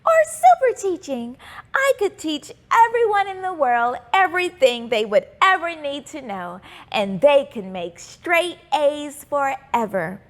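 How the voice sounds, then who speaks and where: A kind, imaginative little schoolgirl from a storybook, played by a woman, thinks out loud with eager wonder. Her voice sits high and swings widely up and down as she exclaims over each idea. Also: sing-song, measured pace. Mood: excited, wondering. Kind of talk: children's storybook character voice.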